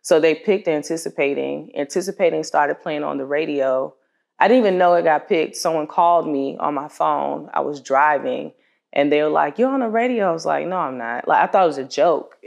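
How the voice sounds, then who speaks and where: A woman with a low voice and a gravelly, creaky edge tells the story behind her work as a singer-songwriter. Her delivery is casual and conversational, like an informal interview, and she voices other people's remarks as she goes. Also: a little fast, lo-fi sound.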